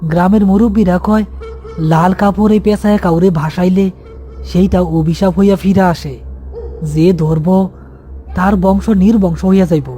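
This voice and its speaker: A man's voice: sits high, fairly even intonation, moderate pace, warm tone, soft background music, lightly acted. A man voicing a frightened, superstitious young village helper in an anxious, pleading way with a rural Bangladeshi accent, in a horror story. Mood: fearful pleading. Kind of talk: audio horror story acting.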